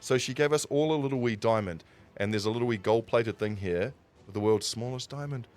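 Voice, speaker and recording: A man with a New Zealand accent telling stories casually as a livestream host, in an animated raconteur's way with dramatic pauses. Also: medium pitch. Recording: music playing behind the voice. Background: background music.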